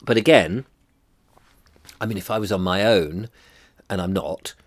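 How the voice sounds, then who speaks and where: A middle-aged man with a stuffy, bunged-up nose talks in a deep, low voice. He muses aloud, pausing on ums and restarting his thoughts. The sound is dry and close, with no room around it. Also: no echo. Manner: hesitant, many ums and restarts, musing. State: congested, bunged up. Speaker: vlogger. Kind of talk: rambling personal reflection to camera.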